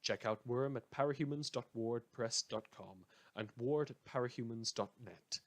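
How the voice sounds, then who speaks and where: A man's voice: medium pitch, normal speed. A man giving casual spoken credits and recommendations as host of a fan audio show, in a wry, informal way.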